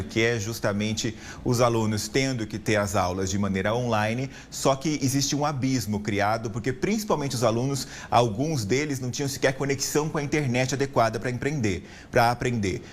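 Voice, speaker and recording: A man's voice: medium pitch, a little hiss or hum. Man anchoring a TV news interview. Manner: measured, formal questions with light banter.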